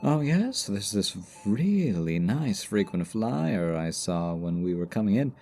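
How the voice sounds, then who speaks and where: A man's voice: lowish voice, big pitch swings, takes it slowly, mellow, faint music behind, exaggerated voice. A man playing a cocky, teasing supervillain stuck as a hospital patient in an audio roleplay, talking with smug mock politeness. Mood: cocky, playful. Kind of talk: ASMR roleplay audio drama.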